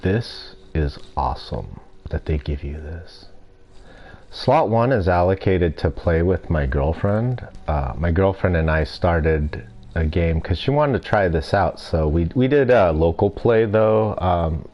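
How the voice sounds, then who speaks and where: A young man streaming a game and chatting casually, with a stuffy nose and throat and a rambling, relaxed way of talking. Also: low-pitched, a little slow, muffled recording. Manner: relaxed, rambling, self-deprecating. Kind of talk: casual gameplay commentary. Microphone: desk mic.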